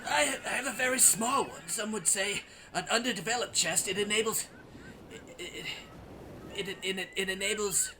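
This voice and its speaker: Man acting a touchy castaway, piercingly high. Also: moderate pace, sharp tone, a little hiss or hum, rough recording. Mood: sheepish. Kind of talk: comedic audio play acting.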